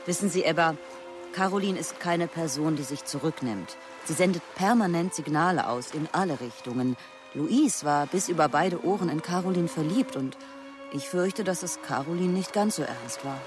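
An actress playing a composed, guarded middle-aged doctor in a radio crime drama, answering in a measured, evasive manner. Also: female voice, deep voice, over music, natural voice. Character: composed, guarded older half-sister, a female doctor.

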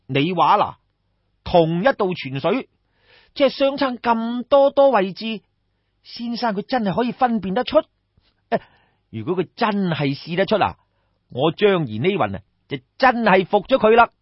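A man's voice: high voice, sing-song, normal speed, breathy edge, dry recording, lo-fi sound, full character voice. A man with a Cantonese accent voicing a brash, crafty young schemer, exclamatory and coaxing, in a storybook tale. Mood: coaxing, insistent.

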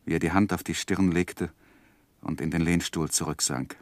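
Low-voiced, earnest gentleman narrator. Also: dry recording. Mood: pained narration. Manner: grave, measured, literary. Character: earnest gentleman narrating his own life story. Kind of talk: 1960s radio drama narration.

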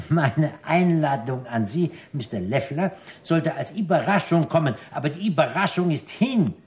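An actor playing a suave, sly restaurant owner with formal, elaborately polite speech in a 1960s German radio drama. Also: male voice, on the high side, animated, normal speed, a little mellow, natural voice. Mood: silky, courteous.

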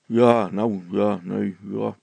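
A timid village policeman from a storybook as a man acts him out, hesitant and mumbling. His voice is creaky and husky as he tries to pass for brave. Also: lowish voice, steady tone, takes it slowly, a little mellow, no room sound, heavily acted. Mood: reluctant.

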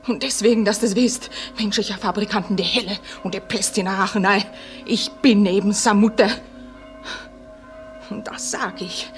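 Eine Schauspielerin spielt im Hörspiel eine verbitterte, trotzige junge Mutter aus armen Verhältnissen, scheltend und heftig, mit schlesischem Akzent. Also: female voice, a little high, lightly acted. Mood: defiant fury. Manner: scolding, vehement. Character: bitter, defiant young working-class mother, scolding and fierce. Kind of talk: German radio play acting.

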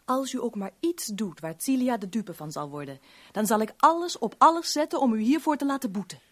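A young woman of wealthy family in a radio play. Her voice is on the high side. She is guarded and proud, protective of her own, and speaks with formal reserve. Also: lively intonation, fairly quick. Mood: defiant, tense. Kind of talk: Dutch radio play acting.

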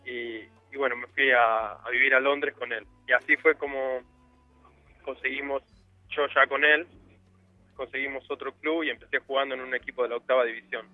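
A man's voice: mid-range voice, fairly even intonation, moderate pace, no echo, narrow, tinny audio, soft background music. A young man from Argentina with an Argentine Spanish accent, telling his story as a guest in a casual interview, rambling with many fillers. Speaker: guest footballer.

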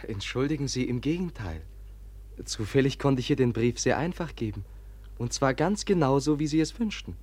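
Radio drama: soft-mannered, dignified young prince, medium pitch, candid and courteous. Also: male voice, expressive, moderate pace, breathy edge, dry recording. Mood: earnest, polite. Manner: polite, earnest, formal, hesitant. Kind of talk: German radio drama acting.

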